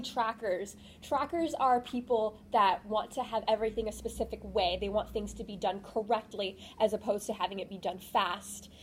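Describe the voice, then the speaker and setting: A young woman with a somewhat high, loud voice presents to a convention audience. She speaks without a microphone, and faint room noise sits under her voice. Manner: says she has a loud voice. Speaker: convention panelist. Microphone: no microphone, recorded from the room.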